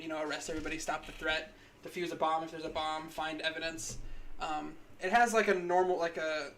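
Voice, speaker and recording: A woman's voice: low-pitched, a bit bright, slight background noise. A woman in her twenties co-hosting a casual podcast, rambling with lots of likes and ums. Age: 20s. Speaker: podcast co-host.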